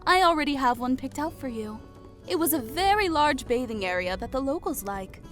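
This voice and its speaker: A man's voice: very high-pitched, animated, fairly quick, fairly bright tone, faint music behind, pitched above the performer's own voice. A man voicing a light, playful, kindly female elf sorceress in a fantasy audio story. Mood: friendly, reassuring. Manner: playful, lilting.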